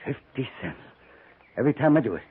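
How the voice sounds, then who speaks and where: An actor playing a coarse, boastful buffalo skinner in a 1950s radio western, talking in a rough, folksy, rambling frontier manner. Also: male voice, medium pitch, very animated, brisk, narrow, tinny audio, a little hiss or hum, natural voice. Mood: gleeful boasting. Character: boastful, earthy old-West buffalo skinner, coarse and relishing. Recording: thin, band-limited 1950s radio recording.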